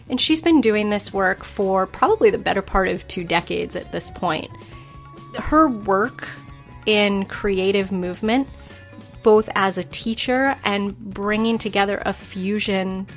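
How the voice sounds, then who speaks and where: A woman's voice: mid-range voice, a bit bright. A woman hosting a podcast, introducing her guest and chatting with her in an enthusiastic, eager way. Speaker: podcast host. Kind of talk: casual interview chat.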